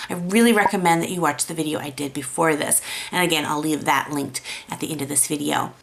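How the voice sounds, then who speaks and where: Woman, raspy, gravelly, low-ish voice, chatty encouraging art teacher. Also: a bit bright. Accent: American. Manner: encouraging, chatty, self-deprecating. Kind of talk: casual tutorial talk.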